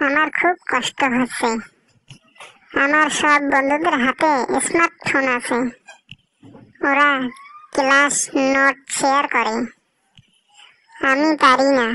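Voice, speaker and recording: A woman voicing an earnest, devout schoolboy son in a cartoon, pleading in a deliberate way. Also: high voice, steady tone, pushed and bright, exaggerated voice, rough recording. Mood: distressed pleading. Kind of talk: slow scripted dialogue in a moral cartoon.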